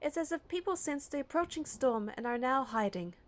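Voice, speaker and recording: A woman's voice: sits high, animated, fairly quick, a little hiss or hum. A woman reading scripted first-person story narration for a game playthrough.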